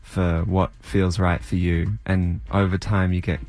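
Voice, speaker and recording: An Australian man with a soft voice, a musician guest speaking in a thoughtful, hesitant way. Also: deep voice, little pitch movement, unhurried, faint music behind. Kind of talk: casual interview.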